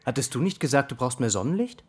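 Radio drama casting: mysterious young man, imagined companion, probing and needling in a hushed, medium-pitched voice. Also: big pitch swings, fast talker, slightly rough. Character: enigmatic young American man, a writer's needling imagined alter ego. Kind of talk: radio play dialogue.

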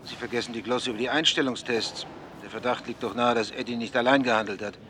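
A man's voice: medium pitch, fairly even intonation, fast talker, noisy background, natural voice. An actor playing a cautious male investigative journalist who answers in guarded, clipped lines. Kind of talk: German TV drama acting.

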